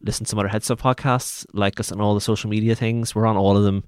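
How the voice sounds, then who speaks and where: A man's voice: lowish voice, level tone, clean tone, no room sound, studio quality. Easygoing man hosting a podcast. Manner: easygoing, brisk sign-off. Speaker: podcast host. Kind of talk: casual chat.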